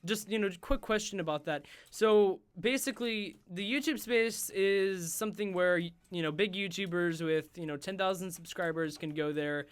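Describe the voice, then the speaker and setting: A young man hosting a gaming livestream, rambling with lots of fillers and going hoarse. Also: high voice, normal speed, hardly any pauses. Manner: rambling, filler-heavy, self-interrupting. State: losing his voice. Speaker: host. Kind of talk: casual livestream chat while gaming.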